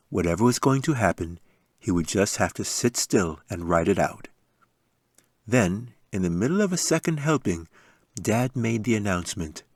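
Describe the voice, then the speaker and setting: A man's voice: lowish voice, no room sound, studio quality. A man reading a fantasy audiobook as a scripted story narration. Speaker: author reading own audiobook.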